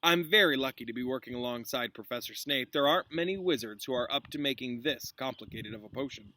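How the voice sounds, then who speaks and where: Storybook professor: male reader as a mild, courteous wizard, bright and slightly raised voice, faint noise. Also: mid-range voice, close to an everyday voice. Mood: calm, confiding. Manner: polite, genial, unhurried.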